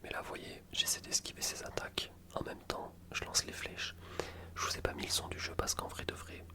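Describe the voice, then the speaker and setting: A man speaks entirely in a whisper, as in an ASMR gaming video. He comments on gameplay in casual slang, rambling from one explanation to the next. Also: fairly quick.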